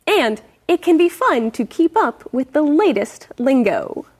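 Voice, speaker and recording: Woman presenter, high voice, scripted read. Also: big pitch swings, measured pace, a little mellow. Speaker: segment presenter. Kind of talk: scripted narration.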